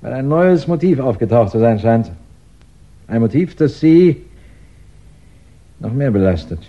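An actor playing a calm, courteous police investigator who questions deliberately in a 1960s radio crime play. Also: male voice, mid-range voice. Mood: pointed, probing. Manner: polite, deliberate, probing questioning. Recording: slightly dull 1960s radio recording.

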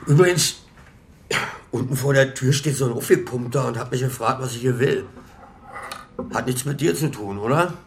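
An actor playing a cheeky, wisecracking furniture mover who talks colloquially in a radio crime play. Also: male voice, medium pitch, moderate pace, long pauses, in a large hall, slight background noise, natural voice. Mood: needling. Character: cheeky, teasing furniture-moving mate. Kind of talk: naturalistic radio play dialogue.

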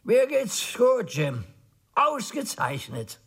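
An actor playing a fussy, timid, obsequious little undertaker in a radio play, halting and earnest, with a breathy, husky voice. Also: male voice, very high voice, wildly swooping pitch, a bit bright, full character voice. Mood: anxious. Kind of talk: German radio play acting.

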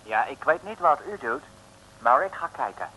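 A formal English gentleman sidekick in a vintage radio comedy. He has a bright, mid-pitched voice and an English accent, courteous throughout, on a slightly noisy old recording. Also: animated, moderate pace. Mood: dismayed. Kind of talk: 1950s-60s Dutch radio comedy acting.

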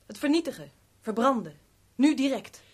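A guarded young woman in a seventies radio play. Her voice sits fairly high with a husky grain, and she speaks with terse reserve, giving little away. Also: sing-song, normal speed, a little mellow, heavily acted. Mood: curt, defensive. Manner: terse, reticent answers. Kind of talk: 1970s Dutch radio drama acting.

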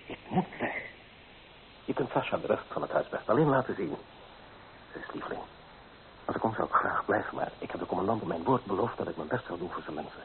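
Low-voiced, proud small-town architect. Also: male voice, expressive, fairly quick, long pauses. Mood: hurried apologetic. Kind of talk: Dutch radio drama acting. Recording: tinny, hissy old radio play recording.

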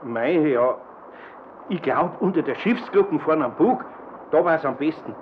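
A man's voice: a little high, lively intonation, a little slow. An actor playing a kindly, fretful older man with a Bavarian accent, hesitant and repeating himself, in a children's radio play. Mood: polite, hesitant. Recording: dull, noisy old radio play.

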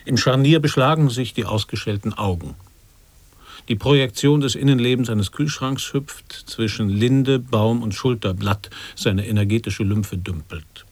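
An actor playing a man who earnestly ponders absurd riddles in a radio play, deliberate and mock-scholarly. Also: medium pitch, darkish tone, no room sound, close to an everyday voice. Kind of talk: avant-garde radio play recitation.

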